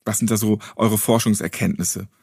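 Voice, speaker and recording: A man interviewing a guest, asking quick follow-up questions and slipping in jokes. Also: lowish voice, steady tone, creaky, a little mellow, slightly rough, no room sound, clean studio sound.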